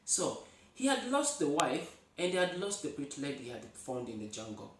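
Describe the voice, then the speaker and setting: Man, Ugandan accent, native Luganda speaker, slightly high voice, animated folktale telling, roomy echo. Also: moderate pace, a little hiss or hum. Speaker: storyteller, host. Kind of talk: oral folktale storytelling. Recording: echoey room, far from mic.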